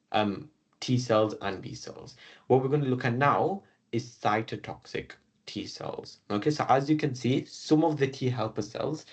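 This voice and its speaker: A British man giving a recorded classroom lecture on biology. He talks through each step in order, filling pauses with "okay" and "um". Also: mid-range voice, darkish tone. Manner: explains step by step, often saying "okay" and "um". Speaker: biology teacher.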